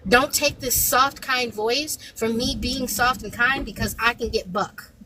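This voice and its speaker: Soft-voiced woman lecturing firmly. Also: fairly high pitch, measured pace, background noise. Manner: firm, lecturing, emphatic. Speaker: panelist. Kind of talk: heated panel debate.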